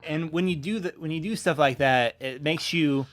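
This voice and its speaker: A man co-hosting a podcast in casual conversation, feeling his way through points with halting, rephrased sentences. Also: on the high side, a bit bright. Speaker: podcast co-host. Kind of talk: casual conversational discussion of storytelling.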